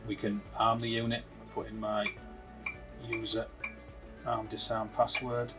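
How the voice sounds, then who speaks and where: A man's voice: lowish voice, level tone, a little slow, music in the background. A man presenting a product demonstration, explaining it informally step by step as a technical tutorial.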